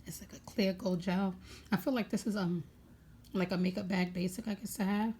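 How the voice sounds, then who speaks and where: A young woman with a raspy voice chatting casually at home, rambling with many fillers as she goes through her makeup bag. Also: medium pitch, fairly even intonation, brisk, a little mellow, a little hiss or hum. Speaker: beauty vlogger.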